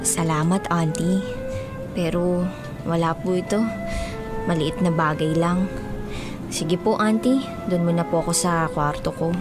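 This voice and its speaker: A woman's voice: lowish voice, steady tone, a little slow, pauses often, lightly acted. A woman playing an earnest, hurt young girlfriend in a Filipino radio drama. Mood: subdued, evasive. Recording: music bed under the voice.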